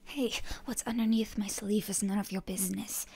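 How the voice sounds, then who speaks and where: A woman playing a proud, stubborn young heroine in an ASMR roleplay, in a breathy, often whispery voice with indignant bluster. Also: mid-range voice, steady tone, dark tone. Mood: indignant, defiant. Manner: indignant, halting, tsundere bluster. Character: proud, stubborn wounded young heroine, defiant toward her enemy.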